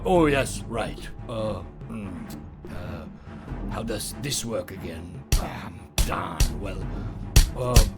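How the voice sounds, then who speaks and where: A man plays a senile old colonel running an agency in a motion comic. He rambles slowly and loses his thread, hesitating with hums. His intonation swings up and down over clearly audible music. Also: mid-range voice. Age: older. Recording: music behind the voice.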